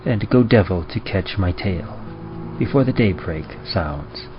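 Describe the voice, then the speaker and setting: A man narrating horror in a dramatic old-time radio style, with a grave, deliberate and ominous delivery. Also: low-pitched, lively intonation. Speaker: horror story narrator and presenter. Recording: muffled, with prominent music behind. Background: music.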